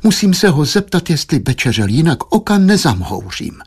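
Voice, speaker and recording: A man's voice: very animated, darkish tone, husky, exaggerated voice. A man voicing an anxious, doting wife and mother in an audiobook, moaning and fretful, full of insistent questions. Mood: plaintive worrying. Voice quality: high, plaintive, moaning.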